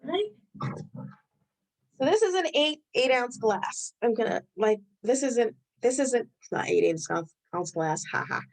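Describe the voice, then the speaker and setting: A woman's voice: fairly high pitch, lively intonation, unhurried, creaky, rough recording. Chatty woman with a Rhode Island accent. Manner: loose, conversational, thinks aloud while reading the chat. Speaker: webinar host. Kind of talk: live health webinar talk.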